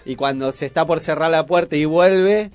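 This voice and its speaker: A man's voice: a little high, normal speed, clear, resonant tone, dry recording, soft background music. A man with a Buenos Aires Argentine accent chatting casually as a radio host.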